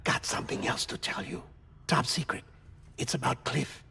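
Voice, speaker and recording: An actor playing a wry, caring comrade in a video game cutscene, conversational and explanatory. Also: male voice, mid-range voice, moderate pace, creaky, noisy background, lightly acted. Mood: earnest, confiding.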